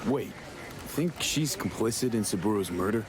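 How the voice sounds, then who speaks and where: A man's voice: fairly low pitch, lively intonation, normal speed, noisy background, natural voice. An actor playing a wry, blunt, streetwise young male mercenary in a video game, talking in a casual, clipped, slangy way. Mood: skeptical. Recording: music behind the voice.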